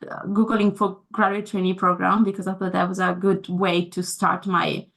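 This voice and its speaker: A woman's voice: fairly low pitch, level tone, moderate pace, gravelly. A young woman, not a native English speaker, answering interview questions casually, with many fillers and restarts. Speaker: guest.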